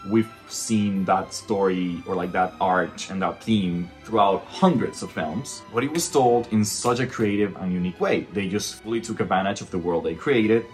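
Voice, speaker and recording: A man's voice: low voice, moderate pace, hardly any pauses, darkish tone, faint music behind. A man from the Dominican Republic, a native Spanish speaker, giving a casual, opinionated film review in an emphatic, conversational way.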